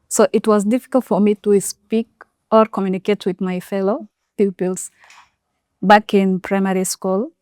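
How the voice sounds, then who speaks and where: A young woman whose first language is Anuak tells her education story in an interview. She speaks at a middle pitch in a dry, close sound, linking each step with "so". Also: a little mellow, clean tone, no echo. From South Sudan region, Anuak speaker. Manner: measured, step-by-step storytelling with frequent "so". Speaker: solar installation facilitator.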